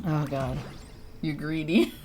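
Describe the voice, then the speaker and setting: A woman's voice: low voice, fairly even intonation, takes it slowly, over loud music. A woman livestreaming a video game, chatting casually with viewers in a playful, exclamatory way and muttering to herself as she plays. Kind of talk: casual gaming chat with viewers, reacting to play.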